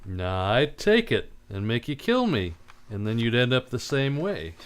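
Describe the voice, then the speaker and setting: A tough wisecracking detective from old-time radio. His medium-pitched man's voice moves up and down a lot. He talks bluntly and commandingly, never backing down. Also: big pitch swings, unhurried, clear, resonant tone. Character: tough, defiant, wisecracking male private detective.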